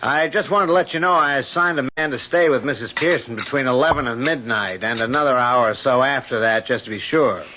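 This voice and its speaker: An actor playing a brisk, matter-of-fact police lieutenant in an old-time radio mystery. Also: male voice, medium pitch, pushed and bright, natural voice. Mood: businesslike, friendly. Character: brisk male police lieutenant, gruffly friendly. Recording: dull lo-fi old radio recording.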